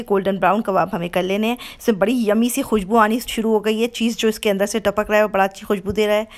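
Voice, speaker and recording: Homely woman explaining a home recipe. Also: mid-range voice. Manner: homely, repetitive explaining with frequent self-corrections. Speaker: home cooking channel host. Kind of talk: step-by-step cooking instructions. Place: home kitchen.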